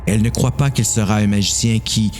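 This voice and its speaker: A man's voice: fairly low pitch, steady tone, a little fast, a little mellow, faint music behind. A man with a Quebec French accent narrating a dramatised horror story.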